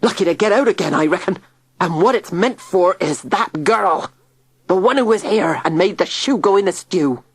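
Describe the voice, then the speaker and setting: A worried old countryman, gasping and fretting, with a rough, grumbling way of talking, as a woman reader puts him on. The voice sits only a little above her own and sounds husky. It is recorded close and dry for a storybook reading. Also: on the low side, lively intonation, moderate pace, no room sound, voice pushed up. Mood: fretful grumbling. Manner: rustic, fretful, gasping. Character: frightened, gruff old man.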